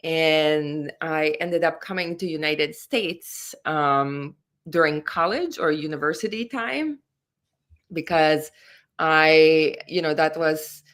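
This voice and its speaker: A woman from Latvia answering interview questions as a career mentor. She speaks slowly and in a low register, earnest and measured, with a spiritual bent. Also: a bit bright.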